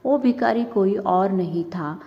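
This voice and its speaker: A woman's voice: medium pitch, a little slow. Female narrator for scripted cartoon moral tales, mid-range voice, lo-fi sound in a big reverberant space. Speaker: story narrator. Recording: dull, lo-fi sound with long reverb.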